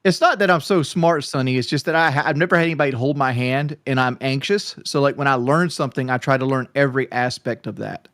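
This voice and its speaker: A man's voice: medium pitch, fast, few pauses, a bit bright, no echo, clean studio sound. A man co-hosting a livestream, rambling casually with a slight stutter. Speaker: livestream co-host.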